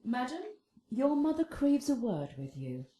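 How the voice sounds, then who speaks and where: An actress playing a loyal, mature household nurse in a Shakespearean radio drama. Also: female voice, sits high, big pitch swings, a little slow, warm tone. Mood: matter-of-fact. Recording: roomy, echoing sound.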